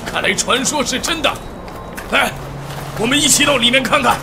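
An old scholar of an audio drama, played by a man, excitable and a little scatterbrained. His voice sits high and has a gravelly creak, with clear music playing behind it. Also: moderate pace. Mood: awed, excited. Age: older. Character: excitable, absent-minded elderly archaeology professor. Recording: loud music under the dialogue.

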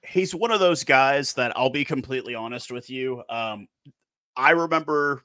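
A man in his thirties hosting a casual video call chat from a small room on a headset, animated in his talk. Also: mid-range voice, moderate pace, no echo, clean studio sound. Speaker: host.

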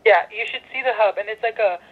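A woman's voice: a little high, fast talker, slightly rough. A woman on a speakerphone call patiently giving directions, searching for words as she explains. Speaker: university phone operator giving directions. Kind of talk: unscripted phone directions. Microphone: telephone heard over speakerphone. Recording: tinny noisy phone call through speakerphone.

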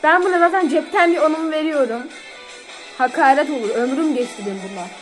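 Young woman, high voice, expressive casual chat, faint music behind, phone recording. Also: quick pace. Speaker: vlogger reacting to cartoon songs. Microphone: phone on camera.

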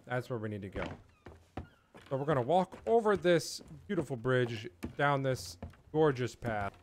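A man's voice: medium pitch, a bit bright. Male game guide host, middle-aged, mid-range voice, easygoing walkthrough delivery, faint background noise. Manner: easygoing, informal, addresses viewers as guys. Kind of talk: casual walkthrough commentary. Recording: clear background noise under voice.

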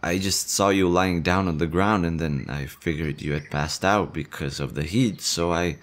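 A man's voice: deep voice, normal speed, slight background noise, lightly acted. A man playing a jittery stammering slime boy. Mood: shy. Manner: stammering, anxious, fretful. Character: nervous shy male slime monster. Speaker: voice actor. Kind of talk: audio roleplay.

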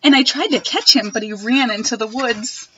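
A young woman reading a picture book aloud to children in a gentle, expressive manner. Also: a little high, normal speed, dull audio, slight background noise. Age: young adult. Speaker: storytime reader. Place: wood-panelled room at home.

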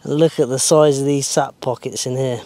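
A man's voice: medium pitch, fairly even intonation, moderate pace, dark tone, dry recording. A man in his thirties filming his own building work outdoors at a sawmill yard, talking plainly and thinking aloud with fillers. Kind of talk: casual self-filmed vlog.

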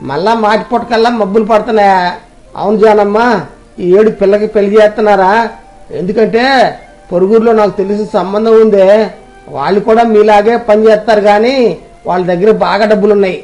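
A man voicing Kotayya, an older village drummer who chats and plays matchmaker, in a high, nosy voice. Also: fairly even intonation, quick pace, a little mellow, soft background music, lightly acted. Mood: chatty.

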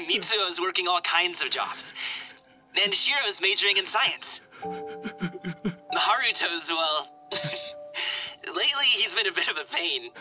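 A man's voice: sits high, expressive, measured pace, pauses often, bright, forceful tone, faint music behind, exaggerated voice, low-quality recording. An actor playing an earnest, eager young man in a video game drama, speaking sincerely and informally. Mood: earnest, fond. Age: young adult. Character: earnest, eager young male firefighter, raised as an orphan.

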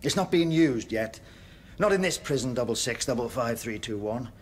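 An actor playing a zealous, pompous prison chaplain in a radio drama, with a declamatory way of preaching. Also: male voice, medium pitch, animated, moderate pace, close to an everyday voice. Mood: grave, hesitant. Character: fire-and-brimstone prison chaplain, zealous, pompous, troubled by doubt.

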